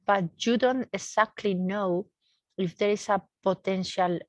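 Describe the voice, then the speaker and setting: Halting woman engineer explaining technical work. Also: medium pitch, no room sound. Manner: halting, searching for words, repeats words. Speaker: research engineer presenting. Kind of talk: technical explanation in an online seminar.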